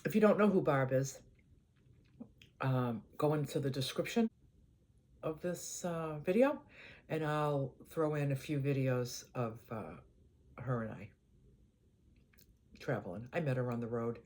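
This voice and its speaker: An older woman with a Boston accent, chatting casually to her channel in an easygoing, rambling way, often letting her voice trail off quietly. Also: a bass voice, measured pace, pauses often. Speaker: vlogger. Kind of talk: casual chat to camera.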